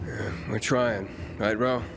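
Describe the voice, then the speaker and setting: An actor playing a guarded, inquisitive wanderer, the hero of a video game, speaking in brief probing lines. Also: male voice, medium pitch, steady tone, takes it slowly, noisy background, close to an everyday voice. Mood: eager. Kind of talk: video game cutscene acting.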